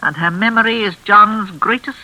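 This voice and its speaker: An actress playing a deranged older mistress of a lonely house in a 1940s radio thriller, with genteel, deliberate menace. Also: female voice, mid-range voice, pushed and bright. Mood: eerily sweet. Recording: crackly 1940s radio broadcast.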